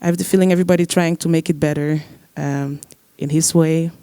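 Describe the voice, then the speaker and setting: A young man, a trombone player, answering on a discussion panel in a searching, halting way with many fillers. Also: a little high, steady tone, warm tone, smooth, no echo. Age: young adult. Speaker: musician guest, trombone player.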